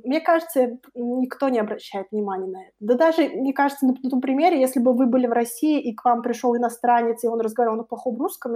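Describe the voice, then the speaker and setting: High, mellow young woman explaining things informally. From Russia. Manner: explanatory, informal, illustrates with imagined quotes. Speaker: interview guest, co-founder of a study-abroad project. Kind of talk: conversational interview answer.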